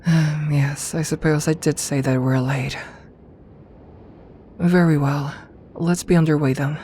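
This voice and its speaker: A woman playing a doting, teasing evil lamia queen in an ASMR roleplay, soft-spoken and unhurried, with formal phrasing and near-whispered murmurs. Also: deep voice, fairly even intonation, slight background noise. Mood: gentle, reassuring. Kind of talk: ASMR roleplay, intimate personal attention.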